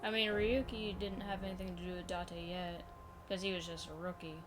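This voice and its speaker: A woman's voice: lowish voice, flat delivery, fairly quick, noisy background. A woman co-hosting a game stream in casual chat, with dry, brief, matter-of-fact remarks.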